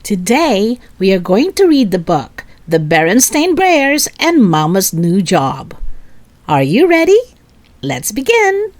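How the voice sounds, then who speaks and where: A woman narrating a children's story in an expressive way, as a read-aloud host for young listeners. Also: mid-range voice, slow. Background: music.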